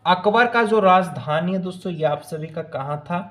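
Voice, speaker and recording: A man's voice: high-pitched, moderate pace, fairly bright tone. A man teaching exam questions to students, repeating himself as he asks and answers, with English phrases mixed in. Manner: repetitive, asks each question then answers it; mixes English phrases into speech. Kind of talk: quiz-style lesson narration addressed to students.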